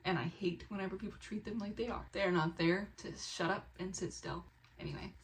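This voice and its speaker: A woman vlogger chatting casually and excitedly to the camera, animated and rambling with expressive asides. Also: mid-range voice, a little fast. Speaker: book haul vlogger and fiction author.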